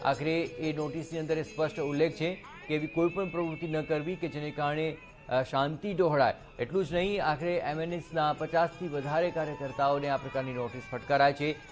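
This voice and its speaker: A man's voice: fairly high pitch, steady tone, fairly quick. A man anchoring a news broadcast, reading and ad-libbing the reports. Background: background music.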